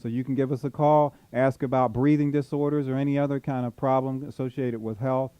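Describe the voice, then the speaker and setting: A man co-hosts a call-in radio show and repeats the number in a promotional way. His tone is warm and mellow, mid-pitched. The studio sound is dry and close. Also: fairly even intonation, fairly quick, clean tone, no echo. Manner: repetitive, promotional call-to-action delivery. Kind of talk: radio announcing.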